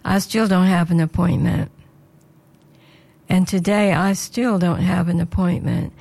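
Slightly hoarse woman presenter. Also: lowish voice, fairly even intonation, a little slow, darkish tone. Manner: earnest, prayerful, conversational. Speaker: radio presenter.

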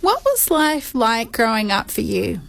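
A woman's voice: on the high side, sing-song, measured pace, gravelly, a bit bright. Composed woman hosting an interview. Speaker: interview host. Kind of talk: scripted introduction and interview questions.

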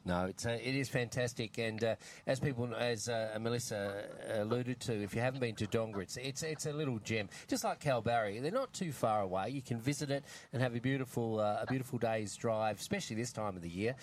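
Aussie radio host, easygoing chat, faint hiss behind him. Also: male voice, medium pitch, fairly quick, hardly any pauses. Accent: Australian. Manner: jovial, laughs often, chatty.

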